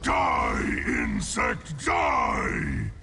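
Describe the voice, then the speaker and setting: Roaring, power-mad dragon. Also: male voice, very deep voice, expressive, very slow, vocal fry, raised voice, heavy background noise, full character voice. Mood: furious. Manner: bellowing, grandiose. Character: raging power-hungry male dragon, huge and megalomaniacal.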